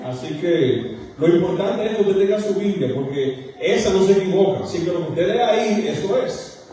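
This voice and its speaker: A man with a Dominican accent delivers a sermon in a high, mellow voice. He speaks emphatically but often stops to correct himself. The sound is heavily echoing, with the long reverb of a large hall. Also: animated, normal speed, slight background noise. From Dominican Republic, partly raised in Queens, New York. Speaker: pastor, preacher. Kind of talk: church sermon. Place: church sanctuary, speaking to congregation. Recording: very echoey large hall, distant microphone.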